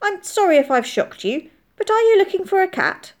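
A woman's voice: on the high side, expressive, moderate pace, darkish tone, close to an everyday voice. A woman voicing a polite, earnest soldier who speaks in careful, formal phrases in an audiobook. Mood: apologetic. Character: young soldier hiding in a barn, polite, guarded, earnest. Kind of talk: audiobook character voice.

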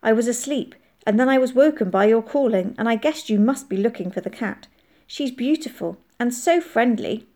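A woman's voice: a little high, animated, moderate pace, dark tone, voice pushed up, natural voice. A woman voicing a polite, earnest soldier who speaks in careful, formal phrases in an audiobook. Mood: friendly. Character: young soldier hiding in a barn, polite, guarded, earnest. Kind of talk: audiobook character voice.

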